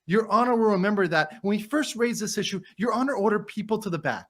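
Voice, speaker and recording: A man's voice: high voice, animated, quick pace, fairly bright tone. A man doing a dramatic reading as an indignant, courteous trial lawyer, formal and emphatic as he argues to a judge. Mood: insistent.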